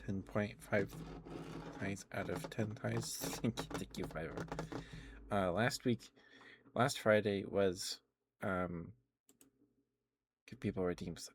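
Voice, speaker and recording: A man hosting an ASMR livestream, chatting casually and playfully with viewers in a halting way. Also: fairly low pitch, a little hiss or hum. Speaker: livestream host.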